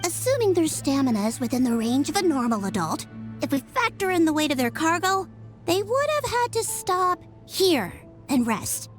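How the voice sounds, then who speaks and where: A woman's voice: high-pitched, big pitch swings, normal speed, faint music behind. A woman playing a brainy nonhuman young female sleuth in a video game, earnest and methodical as she explains things precisely. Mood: analytical deduction.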